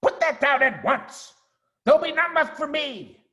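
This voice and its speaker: A man's voice: high voice, huge pitch swings, slow pace, pitched above the performer's own voice, heavily acted. A man doing a shrieking greedy rat. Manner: shrieking, snappish. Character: shrill greedy male rat.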